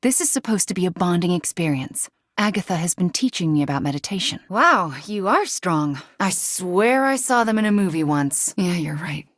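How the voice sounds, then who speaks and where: An actress playing a guilt-haunted, wry young sorceress in a video game, speaking in an intimate, earnest way. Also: female voice, on the low side, lively intonation, normal speed, few pauses, no room sound, clean studio sound. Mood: calm, friendly.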